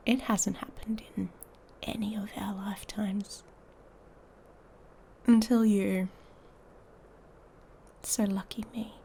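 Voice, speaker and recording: A woman playing an irritated, haughty female werewolf in an intimate ASMR roleplay, breathy and often whispering, taunting and seductive. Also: mid-range voice, steady tone, warm tone, a little hiss or hum. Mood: scornful, resentful.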